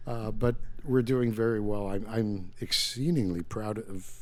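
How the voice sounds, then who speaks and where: An older man whose first language is Yiddish, talking as an author in a casual interview, emphatic and digressive with halting restarts. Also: lowish voice.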